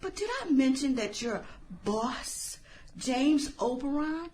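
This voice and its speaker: An actress plays an earnest, courteous female fundraiser in a radio satire. Her voice is high and animated, with an apologetic, practiced sales manner. There is noticeable noise behind her. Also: slow. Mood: apologetic, coaxing. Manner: polite, apologetic, rehearsed sales delivery. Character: polite, earnest female film fundraiser making a pitch. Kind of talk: satirical radio drama acting.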